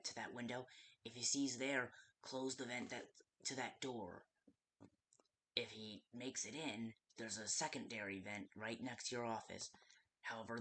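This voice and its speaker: A performer playing a hesitant, nervous guide in a game, giving survival instructions in a halting, often hushed voice. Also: mid-range voice, husky. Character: hesitant, nervous guide talking a night-shift survivor through danger. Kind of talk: game tutorial monologue.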